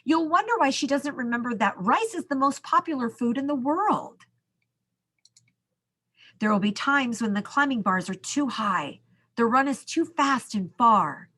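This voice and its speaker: A woman reading a children's picture book aloud as a school storyteller, expressively, with dramatic pauses and drawn-out words. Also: sits high, animated, bright, forceful tone, husky.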